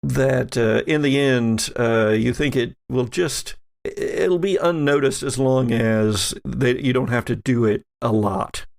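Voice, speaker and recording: A man runs a tabletop roleplaying game as game master. His mid-pitched voice has plenty of fry in it, and he picks through his sentences in a halting, deliberate manner. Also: slight background noise.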